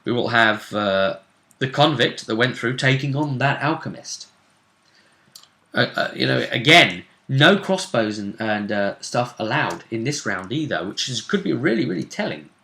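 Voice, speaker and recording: A man speaking as game master, casually narrating a tabletop role-playing game in a halting, deliberate way. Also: mid-range voice, lively intonation, creaky, bright, forceful tone, roomy sound.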